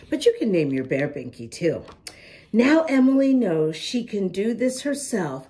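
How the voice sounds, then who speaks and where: A woman's voice: low voice, dark tone. A woman reading a picture book aloud to young children, adding chatty little asides for her listeners. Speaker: children's storybook reader.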